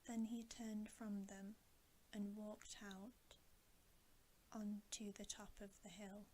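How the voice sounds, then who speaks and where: A woman whispering a bedtime story in a hushed, gentle, deliberate ASMR reading. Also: medium pitch, little pitch movement, slight background noise, low-quality recording. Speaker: ASMR reader.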